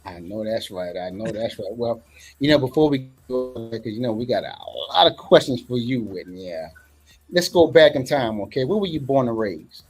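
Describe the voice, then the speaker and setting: Husky-voiced man hosting with gusto. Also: medium pitch, moderate pace, faint music behind. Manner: emphatic, repeats phrases, energetic interjections. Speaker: show host. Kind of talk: casual interview.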